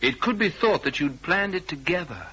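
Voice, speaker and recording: A man playing a shrewd British gentleman detective in a radio drama, questioning people in a measured, clipped, polite and persistent way. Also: fairly high pitch, expressive, loud music behind the voice, lightly acted, rough recording. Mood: cool, probing.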